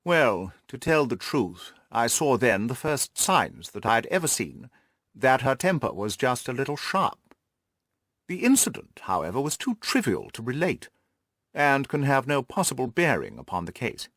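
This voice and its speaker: A man playing a haughty, reserved aristocratic lord with a pompous, measured way of talking in an audiobook. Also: mid-range voice, very animated, fairly bright tone, dry recording, clean studio sound. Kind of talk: audiobook character dialogue.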